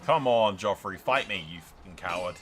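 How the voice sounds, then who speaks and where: Sweary, bright-voiced man with a low pitch. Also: moderate pace, faint music behind. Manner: sweary, blokey, addressing viewers as lads. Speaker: gaming video host. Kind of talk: casual game playthrough commentary.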